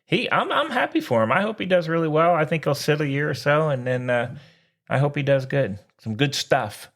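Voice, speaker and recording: A man's voice: fairly high pitch, animated, a little fast, vocal fry, fairly bright tone. A man co-hosting a sports podcast, chatting casually in a folksy, jokey way with slangy exclamations. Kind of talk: casual sports chat, joking banter.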